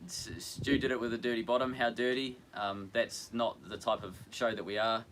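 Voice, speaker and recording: A man hosting a casual livestream, answering viewer questions in a hesitant, relaxed way with many pauses. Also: mid-range voice, a little hiss or hum. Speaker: boat channel host.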